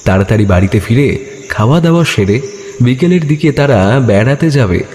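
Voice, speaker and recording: A man's voice: mid-range voice, animated, a little fast, darkish tone. A man narrating a scripted horror story as a storyteller. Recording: echoey, reverberant, with clear background noise.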